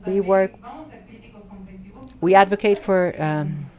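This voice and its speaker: A woman with a fairly low voice renders speakers live for an academic audience. She delivers their words in short bursts with pauses and quick self-corrections, keeping close behind each speaker. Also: mellow, dull audio. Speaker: simultaneous interpreter. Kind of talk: live interpretation of audience questions at an academic symposium.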